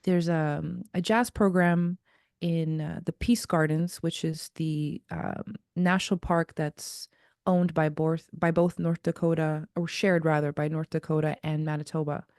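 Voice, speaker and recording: A woman in her thirties from Zimbabwe, a jazz singer as a guest, telling her story in a reflective, unhurried way. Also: low voice, steady tone, darkish tone, clean tone, no echo, studio quality. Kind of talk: casual interview.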